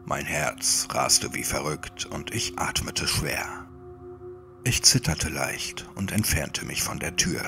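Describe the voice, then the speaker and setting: A man narrating a scripted first-person horror story like an audiobook, in a measured, suspenseful manner. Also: a bass voice, lively intonation, gravelly, music in the background.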